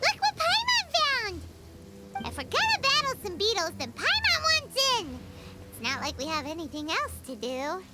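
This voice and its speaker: An actress voicing a tiny, cheeky floating companion creature in a game, chirpy and teasing. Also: female voice, extremely high pitch, huge pitch swings, normal speed, very noisy, heavily acted. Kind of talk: game voice acting.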